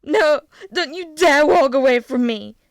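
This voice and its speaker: Woman doing a young prince yelling and begging, high and strained, dry sound. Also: normal speed, clean tone, no echo, lightly acted. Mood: pleading. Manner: shouting, pleading, tearful. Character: desperate young prince, defiant and pleading.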